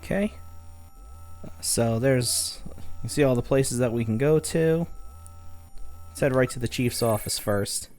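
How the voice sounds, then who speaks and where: A man's voice: mid-range voice, unhurried, long pauses, a little mellow, faint music behind. A man hosting a let's play, giving wry, laid-back commentary with plenty of fillers. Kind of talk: casual game commentary.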